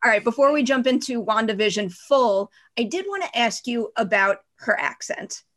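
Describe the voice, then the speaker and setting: A woman's voice: on the high side, animated, moderate pace, fairly bright tone. Woman, interviewer, chatty, enthusiastic fan, casual questions. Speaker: entertainment interviewer.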